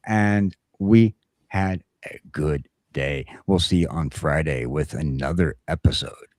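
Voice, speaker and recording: A man hosting a casual livestream chat, talking in a laid-back, halting, chatty way. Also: rumbling low, a little mellow, no echo, studio quality. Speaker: talk show host.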